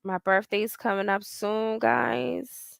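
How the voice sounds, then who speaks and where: A woman's voice: a little high, fairly even intonation, slow pace, gravelly, no echo, clean studio sound. Profane, rambling woman in her forties. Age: 40s. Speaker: livestream host. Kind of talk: casual livestream chat, reading viewer comments.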